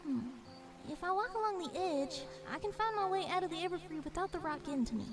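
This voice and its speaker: A woman's voice: very high-pitched, lively intonation, fast. A woman voicing a young female pony in an audio drama, anxious but determined and plain-spoken. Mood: pondering. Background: background music.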